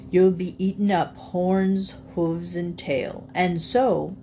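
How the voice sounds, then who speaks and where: A woman voicing an ancient male alligator, pompous, drawling and calmly menacing in a grandly polite way. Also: fairly low pitch, slow, slight background noise, rough recording. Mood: smug, menacing.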